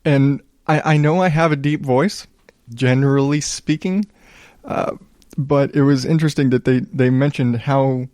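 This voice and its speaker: A man hosting a solo podcast in a smooth voice, chatting casually in a low-key, rambling, self-deprecating way with some swearing. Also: fairly even intonation, measured pace, a little mellow, no room sound, studio quality. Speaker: podcast host.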